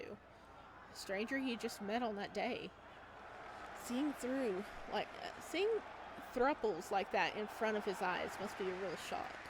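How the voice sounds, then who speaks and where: Woman narrating a game, noisy background. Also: mid-range voice. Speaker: host reading a visual novel aloud. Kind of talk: let's play narration with casual asides.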